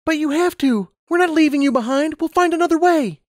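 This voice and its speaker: A man's voice: very high voice, fairly quick, no echo, studio quality, voice pushed up. A man voicing an earnest young pony girl in a storybook. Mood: pleading.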